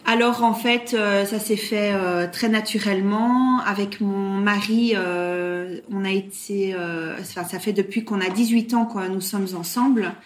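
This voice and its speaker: A woman in her thirties from Brussels with a Belgian French accent, talking as an interview guest, often pausing on "euh". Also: medium pitch, fairly even intonation. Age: 30s. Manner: hesitant, many "euh" fillers. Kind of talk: casual interview talk.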